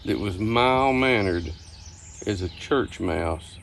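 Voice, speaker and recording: A low, gravelly voice from an older man spinning casual recollections. He is folksy and unhurried, pausing and restarting as he goes. Birdsong and faint outdoor ambience sit behind him. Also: animated, fairly bright tone, a little hiss or hum. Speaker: storyteller. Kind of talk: casual reminiscing storytelling.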